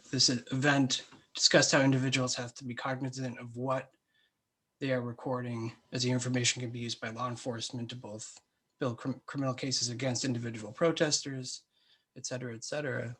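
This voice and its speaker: A man's voice: mid-range voice, flat delivery, a bit bright, slightly rough. Hesitant man moderating a webinar. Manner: hesitant, halting, polite. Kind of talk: informal moderating of audience questions. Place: online webinar call.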